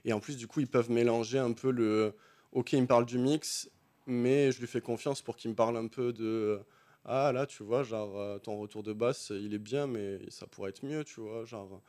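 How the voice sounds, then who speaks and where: A man's voice: mid-range voice, fairly even intonation, moderate pace, dark tone. A man, a beatmaker guest on a radio show, talking casually about making music, with loose slangy phrasing and many fillers.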